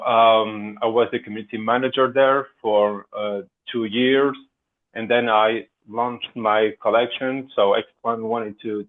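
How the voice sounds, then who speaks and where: A man with an accent mixing French and Portuguese, chatting casually as a podcast guest, hesitant with many fillers. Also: mid-range voice. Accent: French and Portuguese mix. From France. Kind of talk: casual chat. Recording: tinny phone-like line.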